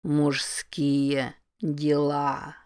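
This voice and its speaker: A haunted temple that speaks in a slow, low, mocking whisper, drawing out each word with grim amusement, as a woman reading an audiobook puts it on. Its tone is hollow and strange, set well below an ordinary speaking voice. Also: completely flat delivery, darkish tone, smooth. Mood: gloating whisper. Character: sinister whispering voice of an ancient temple.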